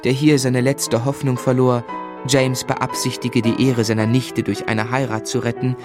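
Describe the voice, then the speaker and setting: An actor playing an earnest, easily indignant gentleman who narrates his memories in a radio play, in a measured, literary and restrained manner. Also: medium pitch, level tone, over music, natural voice. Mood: reflective.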